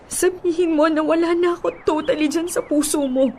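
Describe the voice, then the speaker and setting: A woman's voice: extremely high pitch, fairly even intonation, brisk, a little mellow, slight background noise. A woman acting a heartbroken young woman in a radio drama, pleading tearfully and desperately with her ex-lover. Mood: desperate, hurt. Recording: music under the voice.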